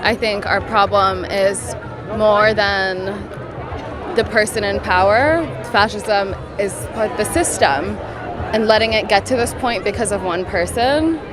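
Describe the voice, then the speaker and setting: A woman's voice: mid-range voice, unhurried, over music. A woman from Florida speaking earnestly in a protest interview. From Florida, United States. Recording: busy crowd behind.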